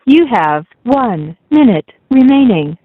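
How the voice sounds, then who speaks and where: A woman's voice: on the high side, very animated, slow pace. A woman delivering recorded announcements for an automated phone system. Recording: tinny phone line recording.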